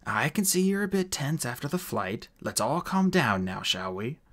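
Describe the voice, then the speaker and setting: A man's voice: medium pitch, big pitch swings, normal speed, fairly bright tone, voice pushed up. A man voicing a sulky, cheeky dwarf convict from an audiobook, with slangy, flippant wheedling. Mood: placating.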